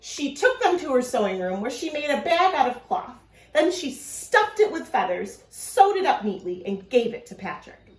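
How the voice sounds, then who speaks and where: Lively higher-voiced woman, teacher talking to kids. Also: normal speed. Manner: addresses children directly, asks them questions. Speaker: elementary school teacher. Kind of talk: informal video message and read-aloud for pupils. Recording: echoey room.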